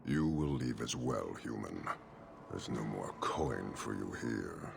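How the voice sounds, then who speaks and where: A man playing a stern, contemptuous giant horned warrior commander in a video game, speaking in measured, formal pronouncements with a gravelly voice. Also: rumbling low, animated, heavily acted. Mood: cold disdain. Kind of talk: video game cutscene acting.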